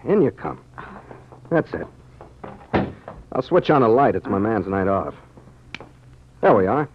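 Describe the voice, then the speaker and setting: An actor playing a wry, defiant gentleman sleuth in a 1940s radio drama, brisk and self-assured. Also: fairly low pitch, very animated. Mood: sardonic, brisk. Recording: muffled, hissy old radio recording.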